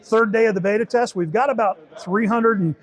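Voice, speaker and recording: Man, slightly high pitch, informal demo talk, step-by-step explaining. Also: a little fast. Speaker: beta test supervisor giving a product demo.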